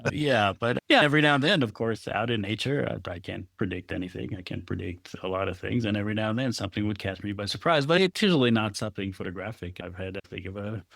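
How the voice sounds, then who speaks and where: A man's voice: fairly low pitch, fast, creaky, hardly any pauses, a bit bright, no room sound. A middle-aged man from Israel, a photographer, telling stories thoughtfully in an interview and laughing often. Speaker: guest photographer. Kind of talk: reflective conversational interview.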